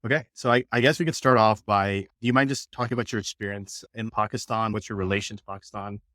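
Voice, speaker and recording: A podcast host, a man, moves quickly through a formal introduction in a lowish voice before easing into his questions. The recording is close and dry, with no echo. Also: fairly even intonation, a bit bright. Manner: brisk, reads a formal introduction. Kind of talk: scripted guest introduction, then interview questions.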